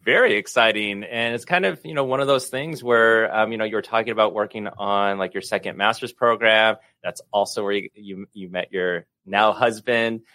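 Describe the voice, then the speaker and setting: A man's voice: fairly low pitch, normal speed, hardly any pauses, sharp tone, dry recording. A man hosting a podcast, interviewing a guest. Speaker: podcast host.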